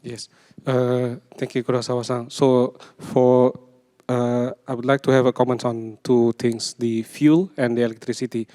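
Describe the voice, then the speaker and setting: A man's voice: mid-range voice, flat delivery, normal speed, mellow. A man on a conference panel, methodically laying out his comments point by point. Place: large conference hall. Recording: long hall reverb.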